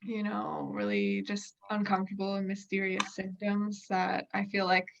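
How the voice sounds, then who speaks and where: A woman's voice: mid-range voice, normal speed, vocal fry, highs cut off. A woman in her twenties hosting a podcast in a conversational way over a video call. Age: 20s. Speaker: podcast host. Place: indoor room.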